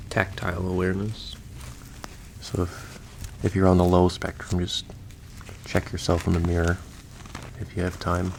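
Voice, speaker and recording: A man with a very deep, gravelly voice performs a quiet roleplay monologue as a zombie mortician. His speech stays flat and level, soft and unhurried, often dropping into a half-whisper as he rambles gently. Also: a little hiss or hum, low-quality recording. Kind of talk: ASMR-style roleplay monologue.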